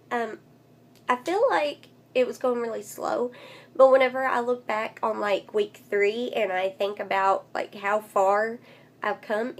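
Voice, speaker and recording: A woman vlogger with a congested, nasal voice, recovering from losing it, speaking in a casual update style and recorded on a phone. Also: a little high. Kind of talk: casual update vlog, reading aloud from a book. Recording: clear background noise, phone recording.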